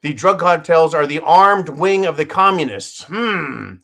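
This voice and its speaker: A man's voice: on the high side, lively intonation, a little slow, creaky, a bit bright. A man hosting his own channel, giving opinionated news commentary in a sarcastic, profane way and often addressing his listeners as folks. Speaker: channel host.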